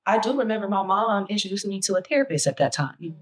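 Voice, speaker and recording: A hoarse woman hosting a casual roundtable talk, reflective and searching for words. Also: medium pitch, lively intonation, fast talker. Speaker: podcast host.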